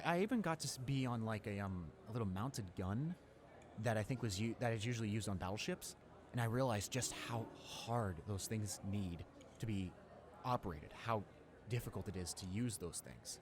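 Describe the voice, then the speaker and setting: A man playing a friendly, awkward, talkative high school student in a casual audio roleplay, speaking in a hesitant, rambling, informal way. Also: noisy background, close to an everyday voice.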